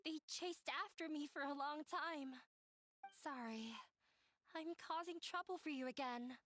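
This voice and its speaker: A young game character, weary, self-blaming, quiet, high voice. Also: female voice, unhurried. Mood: resigned. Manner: quiet, resigned, soft-spoken. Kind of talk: video game voice acting.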